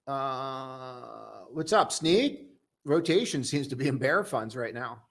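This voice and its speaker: A young man casually hosting a live stock-trading stream, chatting loosely and joking with viewers in a relaxed, tired way. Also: medium pitch, slow pace, creaky. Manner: loose, joking, full of restarts and "dude". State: tired, low on coffee.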